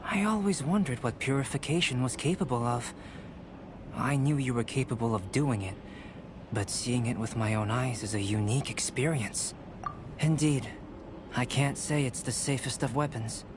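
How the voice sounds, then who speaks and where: A man voicing a calm, aloof young male alchemist in a video game, with a measured, formal delivery. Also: medium pitch, noisy background, natural voice. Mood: curious. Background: game soundtrack.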